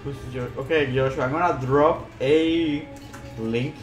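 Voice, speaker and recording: A man's voice: medium pitch, fairly even intonation, measured pace. A young man co-hosting a casual livestream chat as a manga creator. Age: young adult. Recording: echoey room, faint music. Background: faint background music.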